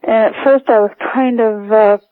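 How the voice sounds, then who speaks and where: An older female caller with a fairly high voice tells personal stories, halting as she goes. She comes over a narrow phone line, dry and close with no room sound. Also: fairly even intonation, dry recording. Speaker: radio show caller.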